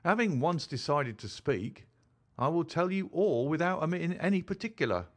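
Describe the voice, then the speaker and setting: Man voicing an agitated, formal mother, raised pitch. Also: lively intonation, moderate pace. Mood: agitated, earnest. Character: distressed, agitated mother, a respectable boarding-house keeper. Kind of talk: dramatised audiobook reading.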